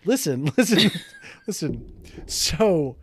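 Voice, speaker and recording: Joking man with a lively, swinging pitch. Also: slow, breathy edge, no room sound. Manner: joking, teasing, playfully argumentative. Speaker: podcast co-host. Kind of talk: casual podcast banter.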